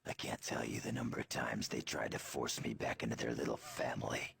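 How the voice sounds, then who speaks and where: An actor playing a gruff, suspicious tough-guy hero in a video game, blunt and surly with a gravelly rasp. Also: very deep voice, fairly even intonation, a little fast, fairly bright tone, full character voice. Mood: distrustful, gruff. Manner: blunt, folksy tough talk.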